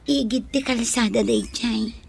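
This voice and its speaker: A woman's voice: a little high, unhurried, creaky, slight background noise. A woman with a Filipino accent playing a willful adult daughter in a radio drama. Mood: wistful. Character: willful adult daughter talking gently to her mother. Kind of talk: Ilocano radio drama acting.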